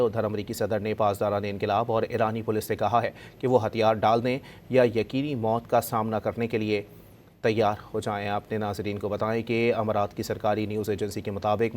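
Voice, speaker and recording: A man's voice: on the low side, a little mellow, a little hiss or hum. A man with a Pakistani accent reading breaking news as an anchor, in a formal, insistent delivery. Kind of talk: breaking news bulletin in Urdu, repeated formally.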